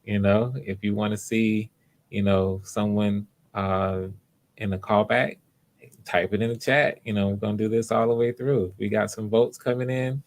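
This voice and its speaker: A man hosting live online auditions, talking casually to viewers in an unhurried, explanatory way, thinking aloud as he goes. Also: lowish voice, little pitch movement. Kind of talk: casual livestream talk to viewers.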